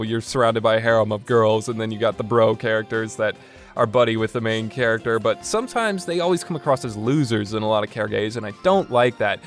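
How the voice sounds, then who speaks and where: A man hosting a casual, opinionated game review in a conversational, rambling way, often correcting himself as he goes. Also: medium pitch. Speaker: podcast host and reviewer. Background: background music.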